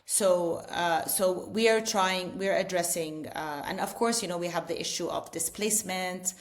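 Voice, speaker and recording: A woman's voice: lowish voice, fairly even intonation, normal speed. Earnest middle-aged woman explaining. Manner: earnest, explanatory, frequent restarts and "you know". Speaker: edtech founder, interview guest. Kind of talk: casual interview conversation.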